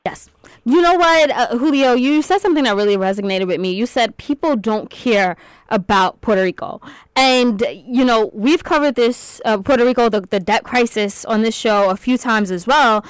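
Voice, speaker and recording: Lady host running a panel, smooth clear voice, kinda high, lots of uh's. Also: no echo, low-quality recording. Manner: measured, moderating, with "uh" fillers. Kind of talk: panel discussion.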